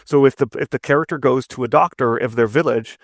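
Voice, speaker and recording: Guy with gravelly voice teaching stuff in an interview, emphatic, keeps saying right?, super dry sound. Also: mid-range voice, fast, no echo. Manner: emphatic, didactic, repeats words and tags points with "right?". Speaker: narrative design instructor, podcast guest. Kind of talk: conversational interview, explaining craft advice.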